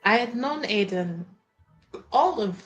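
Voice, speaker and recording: Animated but unhurried woman at game table. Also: a little high, highs cut off, faint music behind. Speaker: player in a tabletop roleplaying game. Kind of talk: casual narration of her character's actions mixed with improvised dialogue.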